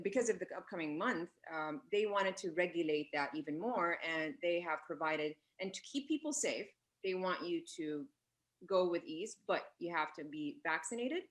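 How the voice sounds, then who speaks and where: Female interview guest, lowish voice, earnest, hesitant, self-correcting. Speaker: radio guest. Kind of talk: explanatory interview answers.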